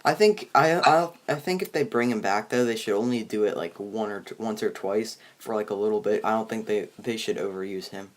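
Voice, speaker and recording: A man with a fairly low voice hosts a casual movie review conversation. He speaks in a halting way, breaking off mid-sentence and starting again as he works out his opinions. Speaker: host of a movie review show. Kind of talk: casual chat, film review.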